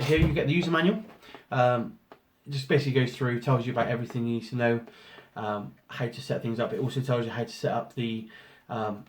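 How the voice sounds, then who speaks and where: A man with a hoarse voice from a cold, casually talking through an unboxing with lots of ums and restarts. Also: mid-range voice, darkish tone. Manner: rambling, many ums and restarts. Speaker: photographer doing an unboxing. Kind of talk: casual product walkthrough. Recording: roomy echo, faint noise.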